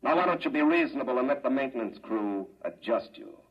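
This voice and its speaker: A smug mastermind in a vintage radio drama, with a mid-pitched voice. He is condescending and unhurried in his certainty, a cold, urbane scientist. The recording is dull and old. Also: expressive, breathy edge. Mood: smug, calm. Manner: condescending, urbane, matter-of-fact. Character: calm, smug male mastermind scientist running a cruel experiment. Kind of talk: 1950s radio drama acting.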